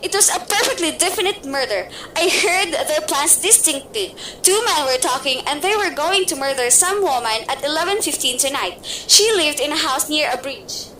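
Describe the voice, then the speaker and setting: Radio-play role: a fretful, demanding bedridden lady, read by a woman, high and shrill. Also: animated, moderate pace, hardly any pauses, pushed and bright, slight background noise, poor audio. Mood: frantic insistence. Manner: shrill, impatient, fretful pleading. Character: nervous bedridden wealthy woman, demanding and frantic. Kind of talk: amateur radio play acting.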